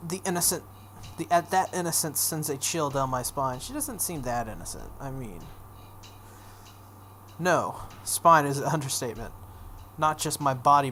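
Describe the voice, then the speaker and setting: A woman's voice: very deep voice, fairly bright tone. A woman hosting a video game playthrough, reading a visual novel aloud expressively with offhand casual asides. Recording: some background noise.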